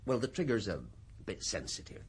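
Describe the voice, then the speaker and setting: A man's voice: on the low side, very animated, brisk, husky, heavily acted. An actor playing a chatty, deferential gun seller who chuckles through his small talk in a radio drama. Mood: affable, deferential.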